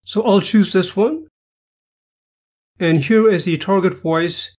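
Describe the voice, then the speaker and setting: Male tutorial narrator, high-pitched voice, step-by-step instructional delivery for screen-capture walkthroughs. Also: slow, a little mellow. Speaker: software tutorial presenter.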